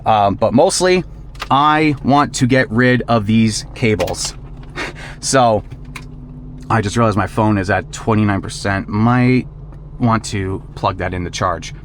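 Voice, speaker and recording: A man's voice: lowish voice, slow. Chatty man in his thirties driving. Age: 30s. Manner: relaxed and chatty, frequent fillers. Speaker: YouTube creator. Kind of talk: casual vlog while driving. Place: inside a convertible car on the road. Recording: loud road noise in a moving car. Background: loud road and engine noise.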